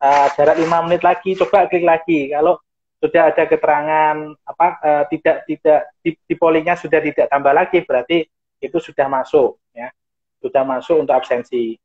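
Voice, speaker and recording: The audio is dull and lo-fi, as on an internet call. A man speaks in a somewhat high voice. He explains things informally, as a guest would. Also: steady tone, brisk, a little mellow. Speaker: guest, university tech specialist. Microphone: online video call.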